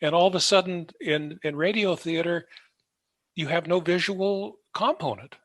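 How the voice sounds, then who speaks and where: Male podcast host, slightly high voice, wordy and wry interviewer, dry studio-like sound. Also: moderate pace, fairly bright tone, dry recording. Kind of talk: conversational interviewing.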